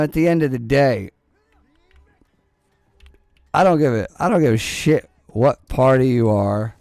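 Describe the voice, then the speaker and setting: A young man with a low voice chats his way through a game stream, loose and profane, joking at the screen and at his viewers. He speaks at an easy, unhurried pace. The sound is close and dry, straight into a headset mic. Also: darkish tone, no room sound. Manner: rambling, profane, joking, talks to chat and to the game. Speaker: gaming streamer. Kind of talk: casual gameplay commentary.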